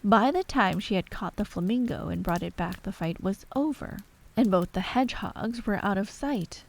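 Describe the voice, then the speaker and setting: A woman's voice: mid-range voice, animated, normal speed, gravelly. A woman reading scripted bedtime storybook narration in an ASMR style.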